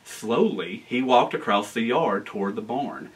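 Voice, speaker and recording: An American man in his forties reading a children's storybook aloud in an animated, expressive way. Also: mid-range voice, unhurried, far from the mic, rough recording. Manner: animated, expressive reading with asides to young listeners. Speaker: guest storyteller, town official. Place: library room with bookshelves, indoors.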